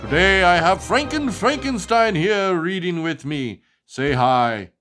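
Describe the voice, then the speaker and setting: A woman hosting a children's read-aloud, sing-song and expressive, who asks the child questions. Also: on the low side, measured pace, soft background music. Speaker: host reading storybooks to a child.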